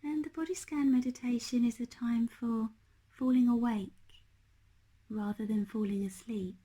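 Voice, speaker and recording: A woman leading a guided meditation as a teacher, speaking in a gentle, unhurried, hushed manner. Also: on the high side, fairly even intonation, husky.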